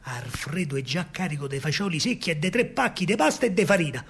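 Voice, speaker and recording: An excitable working-class Roman in a radio drama, recalling wartime scavenging. His husky voice swings widely up and down as he tells it in earthy street speech. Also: a little high, fast talker, full character voice. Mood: excited. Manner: animated storytelling, colloquial. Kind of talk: theatrical monologue in dialect.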